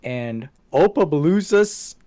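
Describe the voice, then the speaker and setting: A man's voice: a little high, expressive, slow pace, mellow. Male podcast host, rambling and casual. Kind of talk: casual podcast chat, going through show stats.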